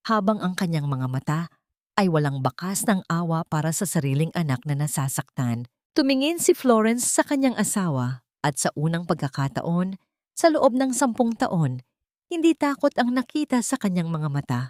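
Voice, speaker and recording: A woman's voice: on the low side, sing-song, normal speed, darkish tone, no echo, clean studio sound. A woman reading scripted dramatic narration in a solemn, melodramatic storytelling manner.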